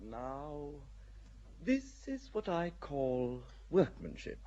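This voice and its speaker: An actor playing a cool, cynical English nobleman in a radio drama, speaking in a languid, wry, unhurried way. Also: fairly high pitch, very animated, dull audio, noisy background, heavily acted. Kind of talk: classic radio drama acting.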